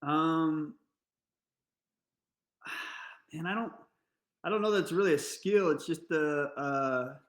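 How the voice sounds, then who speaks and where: Earnest middle-aged man in an echoey room. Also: on the high side. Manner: halting, many restarts, earnest and reflective. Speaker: fire service guest. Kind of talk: casual interview chat.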